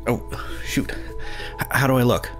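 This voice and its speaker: A man voicing an earnest, awkward, stammering young man in an animated fan series. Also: medium pitch, normal speed, lightly acted. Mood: awkward, flustered. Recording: background music under the voice.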